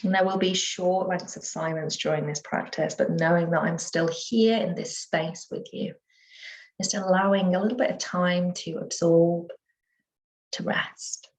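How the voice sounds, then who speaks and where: Low-pitched woman guiding relaxation, soothing and unhurried. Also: fairly even intonation, poor audio. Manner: soothing, unhurried, with gentle pauses. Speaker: yoga nidra teacher. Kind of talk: guided relaxation meditation.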